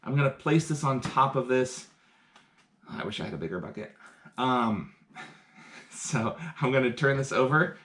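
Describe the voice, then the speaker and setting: A man's voice: mid-range voice, lively intonation, a little slow, pauses often, fairly bright tone. Chatty man explaining science experiments at home. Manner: enthusiastic, chatty, explains step by step while doing experiments. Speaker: science teacher. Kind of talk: live-streamed science demonstration. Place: home, indoors.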